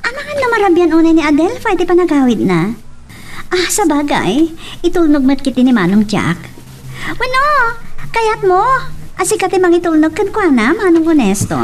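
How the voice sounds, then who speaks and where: A woman acting a chatty, scheming, lovelorn young well-off woman in an Ilocano radio romance drama, with expressive, exclamatory delivery. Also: extremely high pitch, moderate pace. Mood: chatty, insistent. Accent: Ilocano, Philippines.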